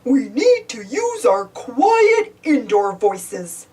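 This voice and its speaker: A woman voicing a friendly big male dinosaur in a storybook, gently explaining. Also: fairly high pitch, very animated, extremely slow, far from the mic, heavily acted. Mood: gentle.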